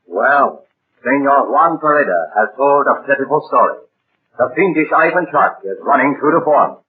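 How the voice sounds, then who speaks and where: A man's voice: medium pitch, lively intonation, normal speed, a little hiss or hum. Tinny 1930s male radio announcer. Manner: dramatic, declamatory. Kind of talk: scripted 1930s radio serial narration. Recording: tinny, band-limited 1930s radio recording.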